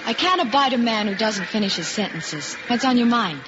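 A woman's voice: a little high, lively intonation, a little fast, close to an everyday voice. A woman playing a pert, devoted young fiancée in 1950s radio acting style. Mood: pert, teasing. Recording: dull, noisy old radio recording.

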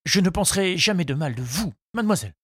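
Audiobook suitor, played by a male narrator: young, shy, earnest, high-pitched, husky, halting and deferential. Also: expressive, a bit bright, dry recording, exaggerated voice. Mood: flustered, ardent. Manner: hesitant, deferential, stammering.

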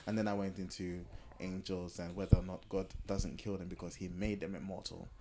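A man's voice: low-pitched, little pitch movement, fairly quick, background noise. A man hosting a podcast, talking through ideas in a casual, explanatory way. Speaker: podcast host. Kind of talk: casual discussion.

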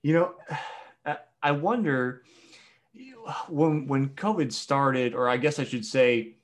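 A male podcast host in the middle of an interview. He asks relaxed, searching questions and leans on fillers as he thinks aloud. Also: medium pitch, slow pace.

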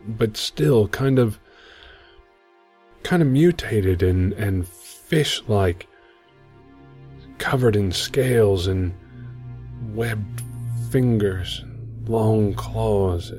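Very slow, hesitant troubled young pilot man acting. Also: on the low side, darkish tone, faint music behind. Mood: haunted, uneasy. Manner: hesitant, halting, haunted. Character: troubled male rookie mech pilot hiding his fear. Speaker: amateur audio drama actor. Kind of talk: audio drama acting.

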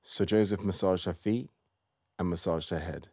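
A man narrating an audio play in a measured, scripted storytelling style. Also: low-pitched, warm tone, slightly rough, dry recording, clean studio sound.

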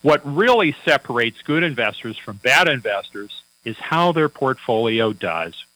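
A man's voice: mid-range voice, sing-song, unhurried, pushed and bright, no room sound, low-quality recording. A man working as a financial advisor, chatting on a radio talk show in a folksy, anecdotal way with many fillers and restarts.

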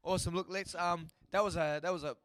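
A man's voice: sits high, quick pace. A man interviewing a fighter right after a bout, hyped and slangy. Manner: hyped, slangy, excited praise. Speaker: ringside interviewer.

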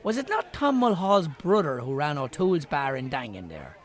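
A sociable Irish barman in a radio drama. His voice is high for a man and swings up and down, chatty and opinionated. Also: big pitch swings, normal speed. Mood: jovial. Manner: chatty, colloquial, emphatic. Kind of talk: naturalistic radio drama acting.

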